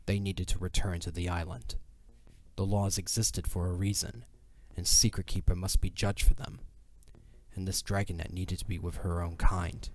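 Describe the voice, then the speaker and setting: A man's voice: deep voice, a little mellow. A man narrating a dramatic fantasy audio drama in a solemn storyteller's delivery.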